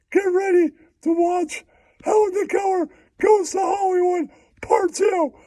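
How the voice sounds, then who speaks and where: Super high squeaky mouse puppet, giggles a lot, teasing and sweary, puppet show promo. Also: male voice, steady tone, takes it slowly, dark tone, no room sound, shouting. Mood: gleeful. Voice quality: squeaky falsetto. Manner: giggly, repeats taunting catchphrases.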